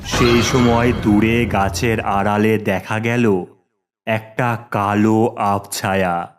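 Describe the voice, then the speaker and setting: A man's voice: fairly low pitch, steady tone, slow pace, background noise. A man narrating a scripted children's story in a dramatic storytelling manner.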